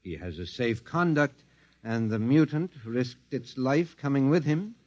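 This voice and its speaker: A man's voice: mid-range voice, lively intonation, a little mellow, muffled recording, close to an everyday voice. An actor playing a cautious, authoritative ship's captain, weighing his words in a 1950s radio drama. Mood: deliberate. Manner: slow, deliberate commanding.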